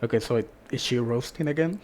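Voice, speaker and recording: A man's voice: mid-range voice, fast talker, crowd noise. A man in casual reaction chat, commenting loosely as he listens.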